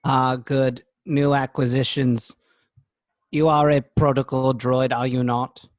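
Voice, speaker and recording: A man's voice: mid-range voice, little pitch movement, slow pace, no room sound, lightly acted. A man plays an officious robot overseer in a sci-fi table read. He is curt and commanding. The sound is dry, with no room around it. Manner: clipped, commanding. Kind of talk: amateur table read over video call.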